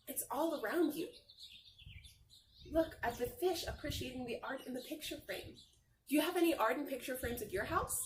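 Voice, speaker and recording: A woman reading a picture book aloud like a teacher, talking to young children in a simple, encouraging, expressive way with drawn-out phrases. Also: high-pitched. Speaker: read-aloud storyteller and teacher. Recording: roomy echo, distant mic, faint noise.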